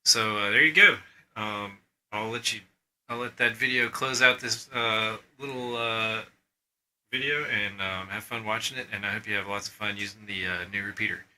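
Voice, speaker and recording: A man with a very bright, forceful, slightly low voice presents informally to fellow radio hobbyists. He speaks haltingly and casually, with faint noise behind him. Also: rough recording. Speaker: amateur radio club member presenting a video.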